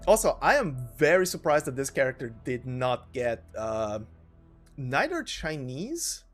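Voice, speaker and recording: A man with a medium-pitched voice narrates a gaming video in an informal, conversational style. He shifts between loose commentary and read-out game lore. Faint music plays behind him. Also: big pitch swings, measured pace. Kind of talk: casual commentary, reading game lore aloud. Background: background music.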